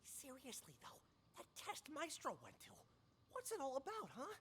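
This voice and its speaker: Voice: high voice, wildly swooping pitch, moderate pace, fairly bright tone, a little hiss or hum, heavily acted. An actor playing a crude, skeptical mental patient and nervous henchman, stammering and blustering in a radio drama style. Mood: skeptical, scornful. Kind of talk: interactive radio drama acting.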